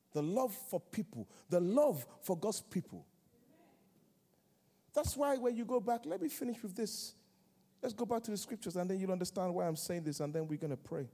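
A man's voice: high-pitched, warm tone. A man preaching a sermon in a church, repeating key phrases in a rhythmic call-and-response way. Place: large church hall. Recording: long church hall reverb.

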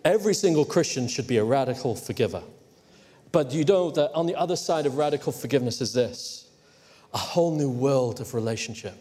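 A man's voice: medium pitch, animated, a little fast, warm tone. A man from Hong Kong preaching a sermon emphatically, teasing his congregation at times. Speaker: pastor. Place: church. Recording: long hall reverb.

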